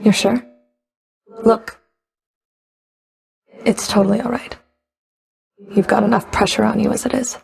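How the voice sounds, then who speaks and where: An actress playing a stern, sardonic underworld Fury overseer in a video game, curt and commanding, with a gravelly, creaky voice. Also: female voice, medium pitch, steady tone, measured pace, pauses often, darkish tone, full character voice. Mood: dry, guarded. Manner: curt, dry, commanding, grudging.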